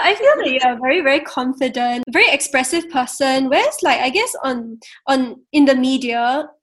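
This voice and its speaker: A woman interviewer with a high voice. She phrases her questions loosely, leaning on "you know" and "right". Her tone stays friendly and conversational.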